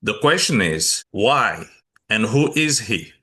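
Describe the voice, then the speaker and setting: A man's voice: fairly low pitch, sing-song, takes it slowly, bright, forceful tone, slightly rough. A male show host asking interview questions in a formal way. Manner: formal, introducing the guest.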